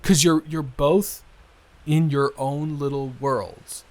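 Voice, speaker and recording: A man playing an awkward, earnest young man in an improvised intimate roleplay, hesitant, rambling and quick to apologize. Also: a little high, steady tone, darkish tone. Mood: excited, earnest. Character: awkward, earnest, smitten young man, best friend's brother.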